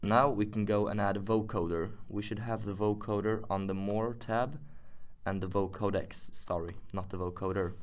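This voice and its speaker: A man presenting an informal music software tutorial, casually thinking aloud as he works. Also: lowish voice, steady tone, normal speed.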